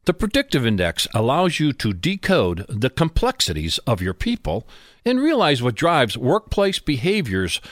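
A male radio host with a very lively, swinging pitch and an informal, blunt way of talking. He sounds close to the mic, with almost no room around him. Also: no room sound. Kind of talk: conversational business interview with sponsor reads.

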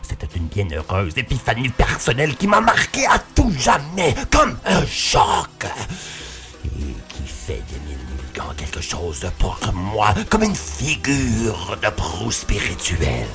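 A man's voice: on the low side, wildly swooping pitch, a little slow, over music. A man with a Québécois accent, hosting a podcast in a theatrical, declamatory way with dramatic swoops, reciting poetry. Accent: Québécois French. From Quebec. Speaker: podcast host.

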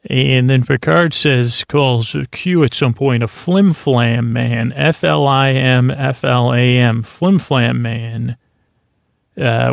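A man hosting a bedtime podcast, talking in a meandering, halting monologue that circles back on itself, with a creaky voice. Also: medium pitch, dry recording. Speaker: podcast host. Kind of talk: rambling bedtime-story podcast intro monologue.

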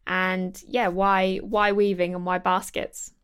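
A woman's voice: on the low side, level tone, slow, clear, resonant tone. A woman hosting a podcast interview, enthusiastic and friendly as she asks questions. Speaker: podcast host.

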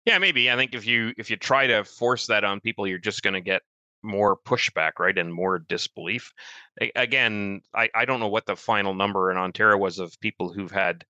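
A man with a Canadian accent co-hosting a podcast, speaking in a quick, wry, matter-of-fact way. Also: fairly low pitch, sharp tone, dry recording, highs cut off. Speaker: podcast co-host. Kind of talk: conversational podcast discussion.

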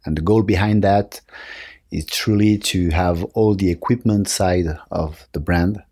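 A man with a French accent answering interview questions conversationally, halting as he searches for words. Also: sits low, steady tone, warm tone, husky, no echo, studio quality. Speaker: interview guest, company marketing director. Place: office.

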